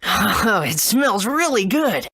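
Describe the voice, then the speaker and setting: A woman's voice: mid-range voice, big pitch swings, slow, a bit bright, breathy edge, no echo, clean studio sound, full character voice. A woman voicing an earnest, good-natured young boy adventurer in a video game. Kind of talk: English game dub.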